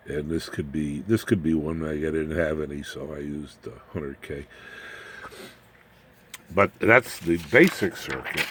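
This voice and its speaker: Very deep-voiced man giving folksy technical demo. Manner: folksy, rambling, plain-spoken. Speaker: electronics hobbyist presenter. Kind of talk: informal hands-on technical demonstration. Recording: clear background noise, room ambience.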